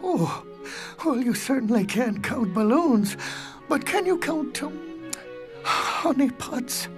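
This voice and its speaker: An actor voicing a gentle, forgetful, good-natured bear in a children's cartoon, mild and hesitant. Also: sits high, animated, slow, music in the background. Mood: mild, sheepish.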